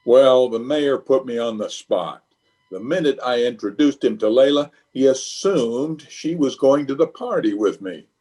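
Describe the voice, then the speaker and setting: A man's voice: mid-range voice, expressive, unhurried, mellow, faint music behind, natural voice. A man playing a pompous, flustered middle-aged bachelor uncle in an old-time radio comedy, exasperated and hemming and hawing.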